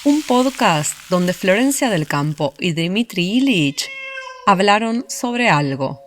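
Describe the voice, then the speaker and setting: A woman reading the podcast's closing credits in a measured, scripted way. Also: medium pitch, sing-song, background noise. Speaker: outro announcer. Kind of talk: scripted outro.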